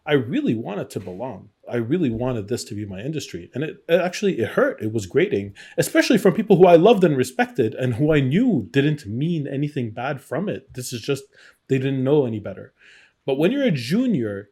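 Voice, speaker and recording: A middle-aged man is giving a personal interview in a conversational way. His voice sits at an ordinary male pitch, and he speaks candidly, retelling experiences and voicing the people in them. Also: big pitch swings, brisk, dark tone, husky. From born in Iraq. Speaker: interview guest, game designer.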